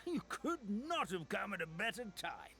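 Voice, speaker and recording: A theatrical old grandpa creature from a fantasy game, fretting over his tavern with kindly fuss. His voice is bright and swoops through a very wide pitch range, a little higher than most men. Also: big pitch swings, moderate pace, full character voice. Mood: warm. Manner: fretful, theatrical exclamations. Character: elderly male almond-like creature, fussy and kindly. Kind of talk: game character dialogue.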